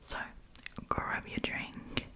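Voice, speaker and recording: A woman hosting an ASMR storytime, reading and chatting in a soft, breathy whisper with a gossipy, friendly manner. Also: very slow. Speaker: host of a storytime channel.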